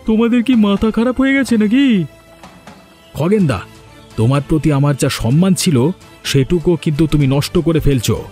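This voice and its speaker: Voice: medium pitch, lively intonation, moderate pace, pauses often, dark tone, soft background music, natural voice. A performer playing an indignant, principled young villager in a cartoon, protesting emphatically. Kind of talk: Bengali cartoon voice acting.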